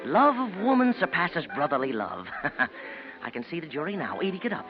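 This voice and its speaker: Oily american lawyer villain, very melodramatic, voice swings all over, muffled old radio. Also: male voice, a little high, sing-song, normal speed. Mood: jealous, gloating. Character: scheming, possessive male attorney, melodramatic and menacing. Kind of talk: 1940s radio drama acting. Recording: dull vintage radio recording with music.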